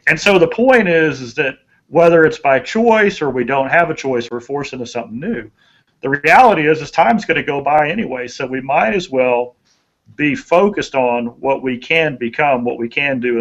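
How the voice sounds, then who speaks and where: A man's voice: mid-range voice, moderate pace, fairly bright tone, highs cut off. A man giving conversational motivational advice as a podcast co-host, in a folksy, earnest way, repeating phrases for emphasis.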